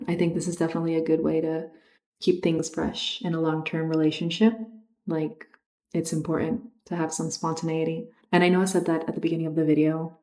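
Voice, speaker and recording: Female vlogger, native Spanish speaker, tired and mellow, fairly flat delivery, casual thoughtful chat. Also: sits low. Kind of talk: casual reflective chat to camera.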